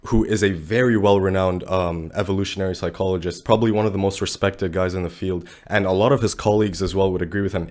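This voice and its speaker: A young man, a little sick and deeper-voiced than usual, giving blunt, animated dating commentary full of slang. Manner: blunt, animated, slangy, says bro a lot. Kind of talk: casual commentary to camera.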